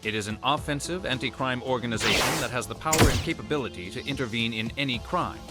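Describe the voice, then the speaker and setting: An actor playing a cold, condescending intelligence chief in an anime game dub, measured, formal and reproachful. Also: male voice, medium pitch, over loud music, lightly acted.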